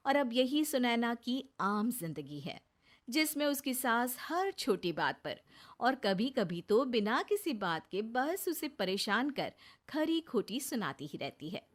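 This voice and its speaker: A woman with a high voice narrates a cartoon moral story. Her delivery is scripted storytelling, carrying the tale between the characters. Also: sing-song, slow pace.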